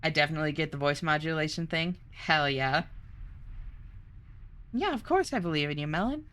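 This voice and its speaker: A young woman streamer chatting casually, reading chat aloud, bubbly and quick to laugh. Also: low-pitched, lively intonation, a bit bright.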